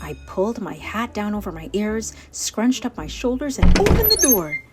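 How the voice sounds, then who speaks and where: A woman's voice: mid-range voice, huge pitch swings, normal speed. A woman narrating a children's storybook as host, with playful, exaggerated emphasis on descriptive words. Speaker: children's read-aloud host. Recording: music playing behind the voice. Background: music.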